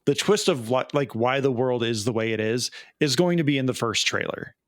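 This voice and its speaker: A man's voice: mid-range voice, lively intonation, normal speed, dry recording. Wry man with a dry, close sound. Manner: wry, opinionated. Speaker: podcast co-host. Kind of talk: casual film and game commentary.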